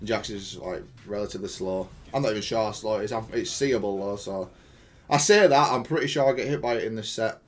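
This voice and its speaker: A man's voice: fairly low pitch, a little fast. A man giving casual gameplay analysis of his own match in an informal, matter-of-fact, self-critical way. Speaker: gaming content creator reviewing own match.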